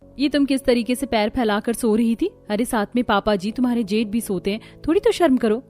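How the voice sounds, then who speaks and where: Brief: actress playing an admonishing cartoon sister-in-law, preachy grown woman, higher voice over faint music. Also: a little fast, dark tone, lightly acted. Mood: reproachful. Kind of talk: Hindi cartoon story voice acting.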